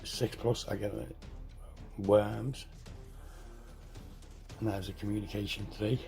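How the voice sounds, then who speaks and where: A hoarse man getting over a cold walks through a tabletop game setup, halting, correcting himself and clearing his throat. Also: lowish voice, flat delivery, mellow, faint music behind. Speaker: tabletop game tutorial host. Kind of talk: casual step-by-step game setup walkthrough.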